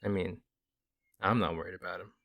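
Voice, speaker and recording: A man's voice: sits low, fairly even intonation, a little fast, creaky, a bit bright. A man playing a friendly, teasing, protective werewolf in an ASMR audio roleplay, talking casually and playfully to the listener. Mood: reassuring, protective.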